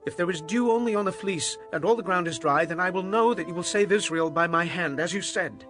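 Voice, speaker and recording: High, bright, pleading Gideon. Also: male voice, fairly quick, faint music behind. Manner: earnest, pleading. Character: Gideon, humble doubting Israelite farmer turned warrior. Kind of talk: dramatized audio Bible acting.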